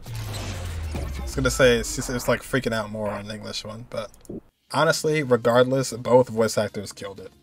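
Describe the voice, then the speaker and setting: A man's voice: fairly low pitch, moderate pace, a little hiss or hum. A man giving casual, rambling, enthusiastic reaction commentary as a video host. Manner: rambling, enthusiastic, repeats himself.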